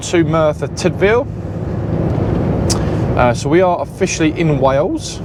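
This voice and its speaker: Southern english bloke vlogging from his lorry cab, rambly chat, loud engine and road noise behind. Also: on the high side, expressive, unhurried. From Southern England. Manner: chatty and rambling, often correcting himself. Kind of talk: casual vlog chat while driving.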